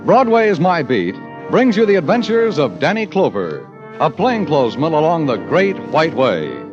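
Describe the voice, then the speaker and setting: A man's voice: fairly high pitch, huge pitch swings, moderate pace. A man announcing formally and dramatically in scripted 1950s radio style. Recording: muffled 1950 radio broadcast, music. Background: music.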